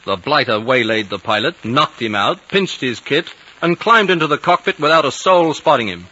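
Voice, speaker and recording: An actor playing a young English military pilot with breezy, slangy officer talk in a 1950s radio drama. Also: male voice, medium pitch, animated, normal speed, bright, forceful tone. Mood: breezy, animated. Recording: dull vintage radio recording.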